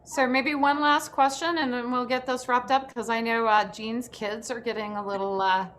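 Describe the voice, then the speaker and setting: A woman's voice: fairly high pitch, steady tone, moderate pace, a bit bright. A woman hosting a casual, instructional panel chat over a video call. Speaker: host of an industry program for actors. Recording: noisy video call audio.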